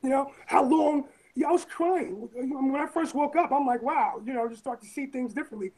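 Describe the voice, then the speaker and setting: A woman from Brooklyn with a raspy voice, talking in a rambling, emphatic way in an interview, often repeating phrases. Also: sits high, moderate pace, a little mellow, poor audio. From Brooklyn, New York. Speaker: interviewee, former firefighter and EMT. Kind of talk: casual interview talk.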